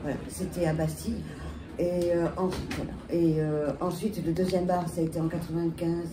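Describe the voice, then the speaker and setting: A woman with a French accent and a head cold, telling her story on a panel with halting restarts. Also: lowish voice, flat delivery. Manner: halting, many restarts and fillers. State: has a cold. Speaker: bar owner, panelist. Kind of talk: panel talk, recounting her history. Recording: echoey room, distant mic, loud background noise.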